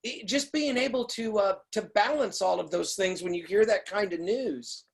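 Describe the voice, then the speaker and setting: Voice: mid-range voice, moderate pace, creaky, slightly rough. An interviewer asking questions in a casual style, hesitant and searching for the right words.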